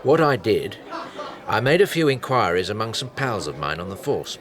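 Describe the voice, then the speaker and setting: Chatty british private eye, lowish voice, lots of up and down, dry humour, radio drama. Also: male voice, sing-song, moderate pace, a crowd in the background. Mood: affable. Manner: wry, anecdotal. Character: chatty, wry male private detective. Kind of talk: naturalistic radio drama acting.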